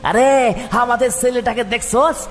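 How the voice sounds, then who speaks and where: A man's voice: very high voice, flat delivery, normal speed, a bit bright. A man playing a scornful, taunting village man with a rural Bengali dialect in an audio drama. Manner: mocking, repetitive jeering. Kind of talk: amateur audio drama acting. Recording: long reverb, music behind.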